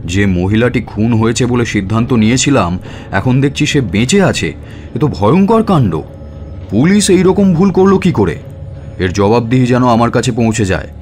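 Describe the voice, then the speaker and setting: A man's voice: medium pitch, big pitch swings, normal speed, darkish tone, slight background noise, natural voice. A man playing a stern judge in a radio drama, speaking formally and with authority. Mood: shocked, indignant. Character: stern judge, shocked and indignant at police error.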